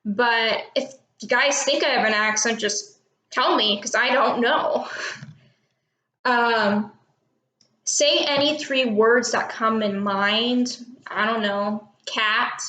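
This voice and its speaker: A young woman of eighteen from Texas, casual and a little unsure, filling gaps with ums as she answers questions for her viewers. Her voice sits a bit high. The room around her echoes. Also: fairly even intonation, drawn-out words, long pauses, pushed and bright. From Texas, United States. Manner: chatty and unsure, many ums and little laughs. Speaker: vlogger doing an accent tag. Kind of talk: casual talk to camera, reading questions and word list.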